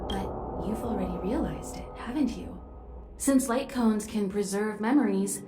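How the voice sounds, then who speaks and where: A woman's voice: medium pitch, reverberant, close to an everyday voice. A woman voicing a poised, knowing space-train navigator in a video game, composed and reassuring. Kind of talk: video game character dialogue.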